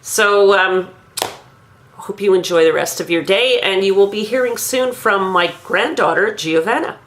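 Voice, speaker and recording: A woman in her fifties from Quebec, thoughtfully recounting her own school memories in a measured, pausing monologue. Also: mid-range voice, fairly bright tone. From Quebec, Canada. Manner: measured, thoughtful, with frequent pauses. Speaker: grandmother recounting her language learning. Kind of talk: reflective personal monologue.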